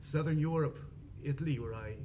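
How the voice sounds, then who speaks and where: A man's voice: a little high, fairly quick, warm tone, slight background noise. An Irish man with a Dublin accent, talking discursively and hesitantly as an author on a panel. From Dublin, Ireland. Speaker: panellist, crime writer.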